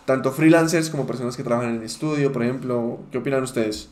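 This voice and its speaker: A man's voice: mid-range voice, steady tone, quick pace, darkish tone. A man hosting a casual podcast chat in who leads the talk and laughs often. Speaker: podcast host. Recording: echoey room.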